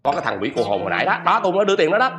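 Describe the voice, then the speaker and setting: A man's voice: a little high, sing-song, very fast. A man with a Southern Vietnamese accent playing a stingy, gullible old husband in a comedy sketch, exclaiming, stammering and repeating himself. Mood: sly, boastful. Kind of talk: broad comedy sketch acting.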